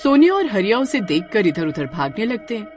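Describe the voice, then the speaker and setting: A woman narrating a scripted horror story in a dramatic, expressive way. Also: medium pitch, fairly quick, faint music behind.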